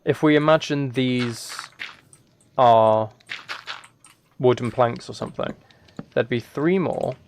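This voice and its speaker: Creaky-voiced man chatting on a livestream. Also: medium pitch, slow pace, long pauses, darkish tone, slight background noise. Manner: thinking aloud, self-correcting. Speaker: live streamer. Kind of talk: casual gaming livestream commentary, chatting with viewers.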